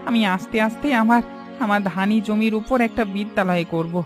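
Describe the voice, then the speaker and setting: A man's voice: sits high, fairly quick, lightly acted. Man doing older village boatman dad in a cartoon, earnest rustic talk, remorseful, music under it. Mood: resolved. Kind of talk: cartoon drama dialogue.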